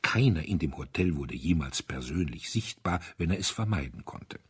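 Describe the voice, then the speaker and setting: A man narrating a literary story as an audiobook, in a measured, deliberate way with expressive emphasis. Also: rumbling low, slightly rough.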